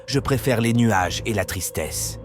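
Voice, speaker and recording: A man's voice: fairly low pitch, lively intonation, unhurried, over music. A man playing a wicked, gloomy, lonely witch in a children's storybook cartoon. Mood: menacing, gloomy.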